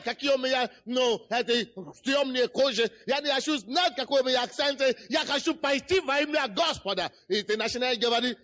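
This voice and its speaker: A man preaching a passionate sermon in church, speaking Russian with a non-native accent and often shouting. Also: very high-pitched. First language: not Russian. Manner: impassioned preaching, shouting and chanting. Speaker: pastor.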